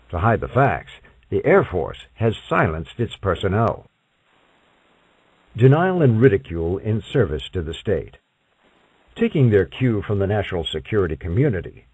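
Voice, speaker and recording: A man reading scripted non-fiction as an audiobook narrator, with measured, emphatic and dramatic phrasing. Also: fairly low pitch, a little mellow, husky, no room sound, low-quality recording. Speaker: audiobook reader.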